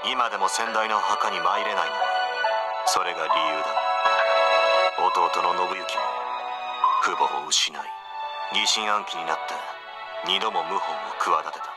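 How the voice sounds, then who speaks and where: Cocky brusque young warlord from a japanese romance game, deepish voice, bossy and lordly, loud music behind him. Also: male voice, unhurried, pauses often. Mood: grim, bitter. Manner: haughty, commanding, archaic lordly speech. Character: proud, brusque young male samurai warlord. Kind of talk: dramatic visual novel acting.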